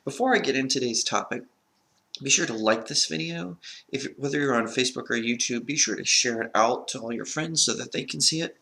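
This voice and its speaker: Man with a crackly, gravelly sore-throat voice. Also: mid-range voice, a little fast, sharp tone. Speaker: host of a film review channel. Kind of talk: casual vlog talk, reading a written film review.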